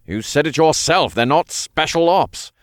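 A man voicing a tired male archangel who speaks in a slow, sighing, exasperated way. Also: on the high side, expressive, no echo, studio quality, pitched above the performer's own voice. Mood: weary.

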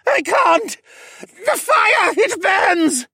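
A man's voice: mid-range voice, huge pitch swings, measured pace, fairly bright tone, breathy edge, no room sound, studio quality, heavily acted. A man voicing a cowardly, whining young male assassin sorcerer in a fantasy audiobook, whimpering and sulky. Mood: terrified pleading.

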